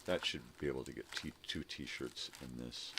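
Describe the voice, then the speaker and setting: A man's voice: rumbling low, normal speed, background noise. An older man rambling casually and unhurriedly as he hosts a morning vlog, repeating words for emphasis. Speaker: host of a daily morning vlog.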